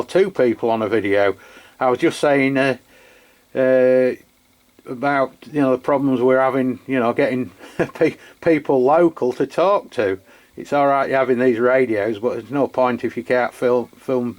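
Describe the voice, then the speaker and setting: An English man hosting a radio demo in casual unscripted chat, halting and rambling as he corrects himself. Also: medium pitch. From England. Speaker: amateur radio channel host. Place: beside his radio set, filming the equipment.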